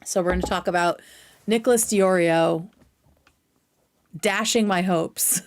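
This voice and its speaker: A woman's voice: fairly low pitch, measured pace, a little hiss or hum. A woman hosting a livestream with casual, opinionated commentary, speaking bluntly and swearing freely. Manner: blunt, profane. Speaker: streamer, host.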